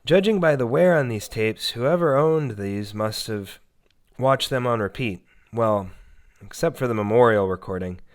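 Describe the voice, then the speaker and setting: A man voicing a calm woman in a horror story, casual and matter-of-fact. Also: mid-range voice, normal speed, lightly acted. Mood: observant.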